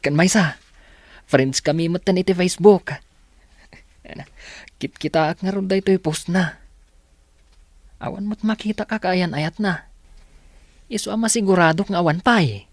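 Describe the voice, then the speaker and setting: A man's voice: high-pitched, normal speed, pauses often, no echo. A man with an Ilocano accent playing a shy, lovestruck, hardworking village young man in a radio drama, hesitant and stammering when put on the spot. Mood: flustered.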